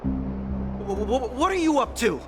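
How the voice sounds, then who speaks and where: Timid guard sidekick for a game. High, halting voice, swinging in pitch, nervously placating. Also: male voice, wildly swooping pitch, over music, exaggerated voice. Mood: frightened. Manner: hesitant, stammering. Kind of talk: video game voice acting.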